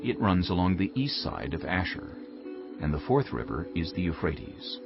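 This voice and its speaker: A male narrator reads scripture as solemn storytelling. His voice is low and moves expressively through each phrase. Music sits clearly behind him. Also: big pitch swings, moderate pace. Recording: dull muffled audio under music.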